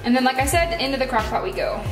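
High-voiced American woman in an echoey kitchen. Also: fast. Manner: chatty, step-by-step instructing. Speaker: home cooking vlogger. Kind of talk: casual recipe demonstration. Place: home kitchen, indoors. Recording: echoey kitchen with music behind. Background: music.

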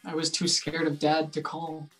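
A woman's voice: deep voice, monotone, normal speed, a bit bright, soft background music, low-quality recording. A young woman playing a dejected, wry grown-up son in a play reading over a video call. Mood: dejected, resigned. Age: young adult. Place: room at home, indoors.

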